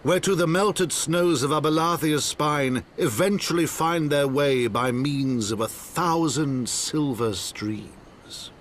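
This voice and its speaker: An actor playing a solemn storyteller, narrating a fantasy video game in stately, poetic phrases. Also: male voice, on the high side, slow, few pauses, slight background noise.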